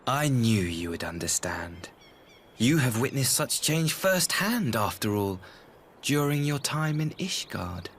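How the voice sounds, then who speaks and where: An actor playing an earnest, diplomatic imperial idealist in a video game, speaking formally and eloquently in measured phrases. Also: male voice, medium pitch, big pitch swings, fairly bright tone, a little hiss or hum. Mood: earnest, persuasive. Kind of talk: video game cutscene acting.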